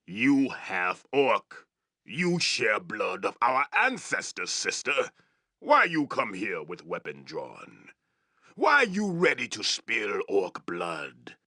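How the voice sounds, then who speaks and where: An actor playing a savage, proud orc chieftain in a fantasy video game, growling threats in blunt, broken English. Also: male voice, on the low side, huge pitch swings, takes it slowly, pushed and bright, no room sound, studio quality, heavily acted. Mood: accusing, menacing. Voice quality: gravelly growl. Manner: broken, blunt English with barked war cries.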